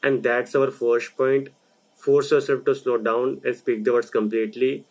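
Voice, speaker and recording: A young man from India who runs a video channel, talking through a voice lesson in a slow, deliberate explainer style. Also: medium pitch, level tone, darkish tone, rough recording. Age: young adult. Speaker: video creator. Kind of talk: explainer narration.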